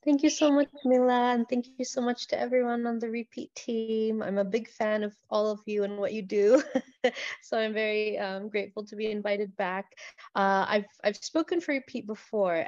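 A woman's voice: mid-range voice, moderate pace, no echo. Chatty Indonesian woman on a video call. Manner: chatty, animated, laughs often. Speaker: invited speaker, writer and artist. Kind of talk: online presentation talk. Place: living room, on a couch. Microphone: laptop webcam on video call. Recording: dull lo-fi video call audio.

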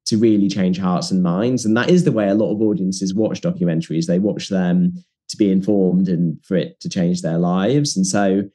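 Deep mellow-voiced man, earnest. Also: steady tone, normal speed, hardly any pauses. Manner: thoughtful, earnest, with filler ums and likes. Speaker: documentary producer, guest. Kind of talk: casual interview chat.

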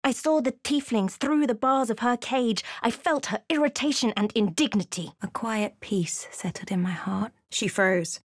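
A brooding woman adventurer from a fantasy game, played by an actress. She is watchful and speaks in measured, dramatic phrases, often hushed. The recording is close and dry, with no room around her. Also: on the high side, no room sound, lightly acted. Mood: solemn, watchful. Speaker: video game voice actor. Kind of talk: dramatic narrated game voice lines.

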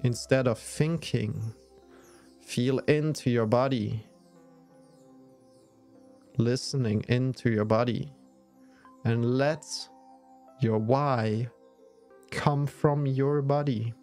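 A man leading a guided yoga nidra meditation in a soothing, measured way with long pauses. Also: mid-range voice, steady tone, a little mellow, faint music behind.

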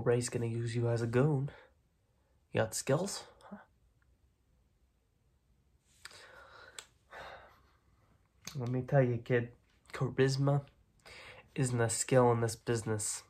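Mob boss for ASMR roleplay, played by a young man: husky, unhurried, streetwise, dismissive, soft-spoken tough talk. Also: mid-range voice. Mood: sizing up. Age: young adult. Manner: slow, clipped tough-guy talk with repeated phrases. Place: small dim room at home, indoors.

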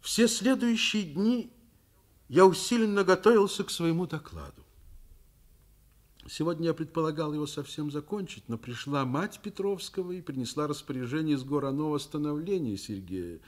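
An actor in a Soviet radio play playing a middle-aged, principled school principal and worried father, speaking in a deliberate, reflective manner. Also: on the high side, expressive, close to an everyday voice. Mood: earnest, troubled. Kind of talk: Soviet radio drama acting, narrating.

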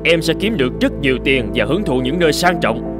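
A man's voice: fairly high pitch, lively intonation, a little fast, loud music behind the voice, lightly acted. A man voicing a stern, practical middle-aged surgeon in a cartoon. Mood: exasperated. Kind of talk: cartoon dubbing.

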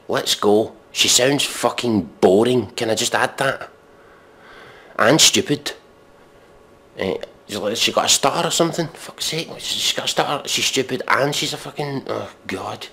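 A man giving a mock dramatic reading in deadpan, with exasperated, scornful, sweary commentary. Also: mid-range voice. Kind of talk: deadpan recitation of pop lyrics with sweary commentary.

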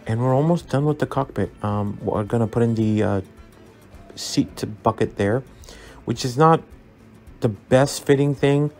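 A man narrating a scale model build as a relaxed tutorial, easygoing and full of ums and uhs as he recommends each step. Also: medium pitch, a little slow. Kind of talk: relaxed instructional voice-over. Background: soft background music.

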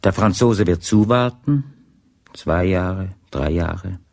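A man narrating a radio drama in a measured, terse manner, with a husky voice. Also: deep voice, a little mellow, no room sound, clean studio sound, exaggerated voice.

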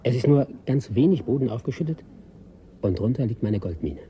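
A man's voice: medium pitch, animated, fairly quick, mellow, a little hiss or hum, lightly acted. An actor playing a secretive, eager man who digs for salvage, cagey and confiding, in a German radio drama. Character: secretive, eager male scrap digger.

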